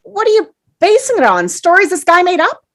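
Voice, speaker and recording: A woman's voice: extremely high pitch, wildly swooping pitch, brisk, a bit bright, exaggerated voice. A woman playing a brash, impatient, sarcastic male juror in a scoffing, exclamatory way at a dramatic table read. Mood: scornful.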